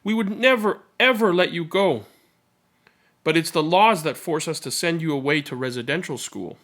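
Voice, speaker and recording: Man reading as a sorrowful, high-voiced mother. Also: moderate pace, fairly bright tone. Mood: sorrowful, loving. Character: loving, sorrowful mother of young children.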